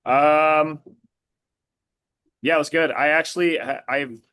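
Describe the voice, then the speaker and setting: A man, a coach who has partly lost his voice from yelling, answering interview questions casually with lots of fillers and restarts. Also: a little high, steady tone, takes it slowly, smooth. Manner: rambling, many fillers and restarts. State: voice partly lost, a bit hoarse. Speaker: blood analysis practitioner and girls flag football coach.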